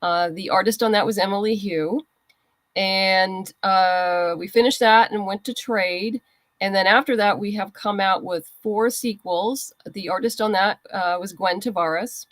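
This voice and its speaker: A woman's voice: mid-range voice, measured pace, a bit bright, dry recording. A woman being interviewed as a writer, giving explanatory, conversational answers.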